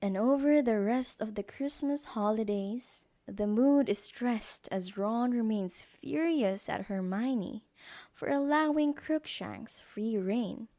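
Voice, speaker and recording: A woman's voice: fairly high pitch, slow, warm tone. A woman reading scripted narration as the narrator of an audio drama.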